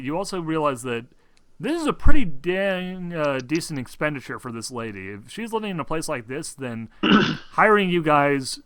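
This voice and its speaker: A man runs a tabletop role-playing game as game master. He thinks aloud as he describes scenes, halting and conversational, at a medium pitch. The recording is very dry. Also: pushed and bright, no room sound. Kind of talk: improvised tabletop game narration.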